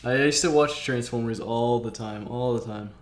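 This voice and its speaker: A man's voice: mid-range voice, moderate pace, slight background noise. A man hosting a casual chat on a game stream. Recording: roomy, echoey home stream audio.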